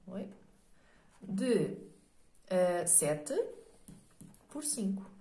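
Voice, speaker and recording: A hoarse middle-aged woman with a European Portuguese accent, teaching a maths lesson patiently, checking in often with "ok?" and many fillers. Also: fairly low pitch, animated, very slow, warm tone, roomy sound. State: hoarse from a cold.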